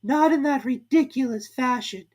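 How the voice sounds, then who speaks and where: A woman voicing a sulky, indignant little dormouse in a storybook reading, with slow, drawn-out complaints. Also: high voice, darkish tone, pitched above the performer's own voice.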